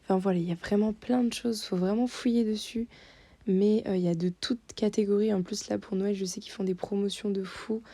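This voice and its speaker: A woman's voice: medium pitch, steady tone, fairly quick, warm tone, no room sound. A young woman giving a casual voice-over of a website, hesitant, with many fillers. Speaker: vlogger doing screen-tour voice-over.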